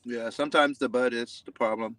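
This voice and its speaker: A man's voice: medium pitch, steady tone, brisk, a bit bright, no echo, rough recording. Laid-back, slangy man on a call. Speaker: panel guest. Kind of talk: casual live panel chat.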